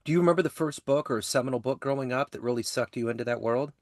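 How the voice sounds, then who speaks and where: A man's voice: medium pitch, racing, a little mellow, no room sound, studio quality. A man hosting an interview, asking long winding questions and giving short affirmations. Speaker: podcast host, interviewer.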